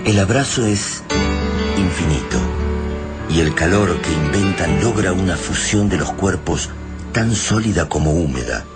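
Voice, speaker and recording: Very deep-voiced Argentine male narrator. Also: lively intonation, a little slow, over loud music. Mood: solemn, literary. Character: solemn literary male narrator of a science fiction tale. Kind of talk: literary radio drama narration.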